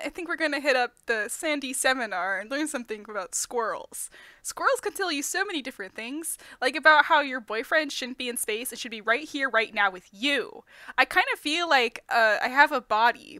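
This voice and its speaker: A woman's voice: sits high, expressive, fairly quick, bright, forceful tone, no room sound, clean studio sound. A woman improvising a ditzy, lovestruck mommy vlogger in a comedic dub, rambling and giggly with absurd earnestness. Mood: dreamy rambling. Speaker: fandub performer.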